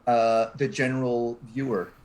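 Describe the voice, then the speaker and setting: A man's voice: mid-range voice, flat delivery, unhurried, darkish tone. A man speaking as a panel guest in a casual, hesitant way. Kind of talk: casual chat.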